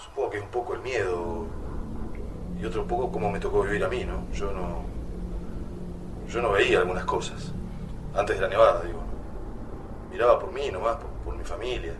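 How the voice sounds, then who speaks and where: A man's voice: on the low side, normal speed, long pauses, roomy sound, far from the mic, noisy background, lightly acted. A man playing an anxious resistance fighter in a radio drama, with an Argentine Spanish accent. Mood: subdued, reflective. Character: anxious male resistance fighter, thoughtful, urgent under fire.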